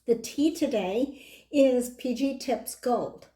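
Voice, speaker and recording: A hoarse middle-aged woman hosting a board game channel, chatting casually in a rambling way with lots of side remarks. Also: fairly high pitch, slow pace, mellow. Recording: roomy echo.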